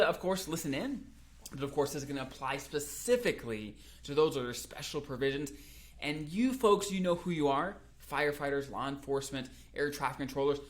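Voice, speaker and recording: Upbeat man explaining retirement rules. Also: fairly high pitch. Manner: upbeat, energetic, with frequent restarts and emphatic peaks. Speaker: financial advisor hosting a video channel. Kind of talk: casual explainer video.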